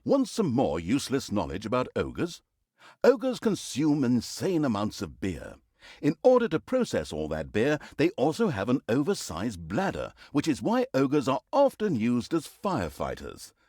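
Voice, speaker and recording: A man's voice: mid-range voice, darkish tone, slightly rough, no echo, studio quality, heavily acted. A man playing a sarcastic, droll storybook narrator of a villain's exploits in a fantasy video game, with mock-grandiose, theatrical delivery.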